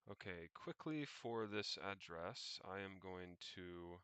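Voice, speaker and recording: Low-voiced man thinking aloud, slow and halting. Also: lively intonation, fairly bright tone, smooth, dry recording. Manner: halting, pausing mid-sentence, muttering to himself. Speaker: solo livestreamer. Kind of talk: casual think-aloud screen-share narration.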